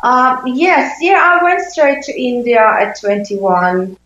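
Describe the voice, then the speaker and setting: A woman's voice: high voice, sing-song, slow, a bit bright. Swedish-born woman, echoey dull sound. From Sweden. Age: 50s. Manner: thoughtful, searching for words with many fillers. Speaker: intimacy coach, guest. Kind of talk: interview conversation.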